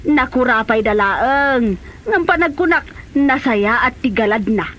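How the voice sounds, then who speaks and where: A woman's voice: fairly high pitch, animated, unhurried, fairly bright tone. A woman playing a chatty, meddling but well-meaning middle-aged mother in a comedy drama, with a Filipino Ilocano accent. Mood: approving, persuasive. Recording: dull, noisy location sound.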